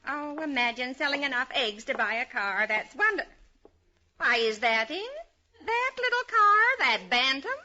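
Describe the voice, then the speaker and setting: A woman with an American accent playing a polite, amused, gently teasing straight woman in 1940s radio comedy. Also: sits high, lively intonation, measured pace, exaggerated voice. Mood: amused, wry. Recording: muffled, noisy old radio recording, audience behind.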